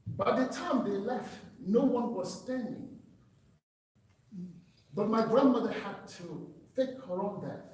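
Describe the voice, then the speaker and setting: A man's voice: sits high, darkish tone, a little hiss or hum. A man from Cameroon, giving an earnest, emphatic personal testimony speech at a meeting. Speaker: public speaker. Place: meeting room. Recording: echoey hall, distant mic.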